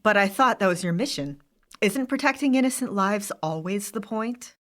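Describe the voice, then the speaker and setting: A woman's voice: medium pitch, animated, normal speed, fairly bright tone, lightly acted. A woman playing an easygoing, teasing mother in an audio drama, with a light manner. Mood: mildly amused.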